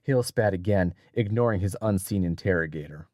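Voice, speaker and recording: A man reading scripted adventure fiction as an audiobook narrator, in a dramatic, measured storytelling manner. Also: deep voice, dark tone, no echo, clean studio sound.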